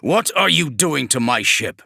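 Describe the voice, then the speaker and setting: A man's voice: medium pitch, expressive, unhurried, gravelly, pushed and bright, no room sound, studio quality, voice pushed up, heavily acted. A man doing a barking, raised-voice warlord. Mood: commanding angry. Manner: barking orders, curt. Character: harsh, commanding male warlord.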